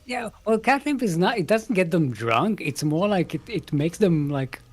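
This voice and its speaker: A man's voice: high-pitched, lively intonation, normal speed, slightly rough. A man commentating an esports match in a casual, joking, rambling way with playful banter.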